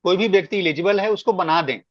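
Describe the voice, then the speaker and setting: Man, emphatic meeting explainer, slightly high pitch, lo-fi dry conference audio. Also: fast talker, no echo. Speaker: meeting speaker giving guidance. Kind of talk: explanatory work meeting talk. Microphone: online conference call. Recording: dull, lo-fi conference call.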